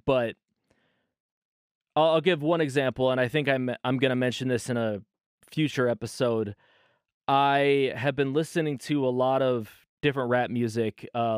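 Man, mid-thirties, solo podcast host, loose self-correcting chat, smooth, dry close sound. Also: medium pitch, no room sound. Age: 30s. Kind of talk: casual solo podcast talk. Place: bedroom.

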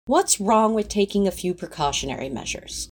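Woman doing a prim fussy guy in a fan audio drama, soft husky voice, lots of up and down, coaxing and reasoning. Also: mid-range voice, lively intonation, moderate pace, close to an everyday voice. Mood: placating. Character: fussy, placating male companion, easily affronted.

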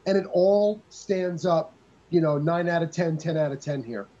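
A man's voice: on the high side, moderate pace, dark tone, highs cut off. A man co-hosting a casual movie review podcast, praising films with enthusiasm. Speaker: podcast co-host.

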